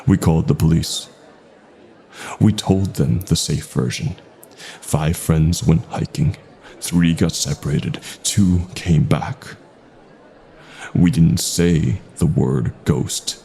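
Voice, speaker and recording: A man narrating a scripted first-person horror story in a slow, dramatic way with deliberate pauses. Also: a bass voice, vocal fry, mellow.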